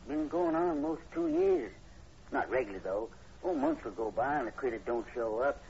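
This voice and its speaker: A man's voice: mid-range voice, normal speed, darkish tone, close to an everyday voice. An actor playing a timid, superstitious farm hired man with a rural Southern American accent in a 1940s radio horror play. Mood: wary, recounting. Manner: folksy, rustic, hesitant. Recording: muffled, noisy 1940s radio recording.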